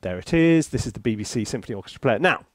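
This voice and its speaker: A man's voice: mid-range voice, animated, racing, no echo, clean studio sound. A British man presenting a casual, chatty tutorial with jokey, theatrical asides, often interrupting himself. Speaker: composer reviewing music software, presenter.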